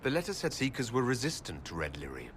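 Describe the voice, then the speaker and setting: An actor playing a composed leader of a fantasy inquisition in a video game, speaking in a measured, inquiring way. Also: male voice, medium pitch, wildly swooping pitch, a little hiss or hum. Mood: reassuring. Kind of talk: dramatic fantasy game dialogue.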